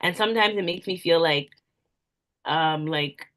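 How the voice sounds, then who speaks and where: A young woman, an event planner, answering interview questions in relaxed casual talk, with lots of "like" fillers. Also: lowish voice, measured pace. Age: young adult. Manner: soft-spoken, frequent "like" fillers.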